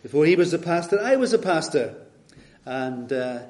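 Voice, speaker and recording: A retired Scottish pastor in his later years, guest preaching, reading scripture and leading prayer in a measured, earnest way. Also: on the high side, sing-song, a little mellow. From Scotland. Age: older.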